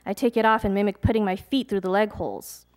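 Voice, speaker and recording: A young adult woman, a poet, reading her poems aloud at a live event, measured and deliberate, with chatty, hesitant asides between pieces. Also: medium pitch, steady tone. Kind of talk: poetry reading with casual asides to an audience.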